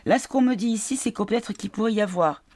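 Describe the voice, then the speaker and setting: A woman giving a casual card reading, tired and congested from a cold, halting as she searches for her words. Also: mid-range voice, a little mellow. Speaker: card reader.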